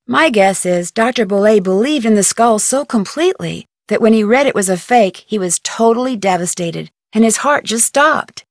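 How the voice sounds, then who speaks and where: A woman's voice: fairly high pitch, dry recording, studio quality, natural voice. A young woman voicing a curious, polite amateur detective in recorded game dialogue, asking earnest questions. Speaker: game protagonist. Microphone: close studio mic.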